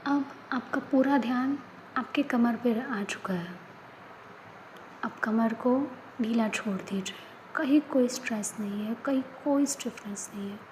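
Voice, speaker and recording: Woman, meditation guide, slightly high voice, slow whispery delivery, faint background hiss. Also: rough recording. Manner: slow, soft, often whispered instructions. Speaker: yoga nidra guide.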